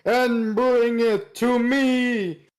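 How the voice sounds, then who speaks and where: A man's voice: very high voice, steady tone, drawn-out words, voice pushed up, heavily acted. A man voicing a pompous, easily astonished judge in an amateur courtroom game dub. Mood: grave.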